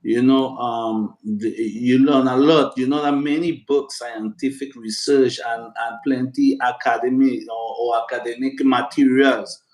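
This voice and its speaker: A man's voice: mid-range voice, steady tone, a little slow, hardly any pauses. Echoey man, chatty and earnest. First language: Spanish. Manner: earnest, repeats words and fillers often. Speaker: interview guest. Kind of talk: casual interview answers. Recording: echoey room with loud background noise.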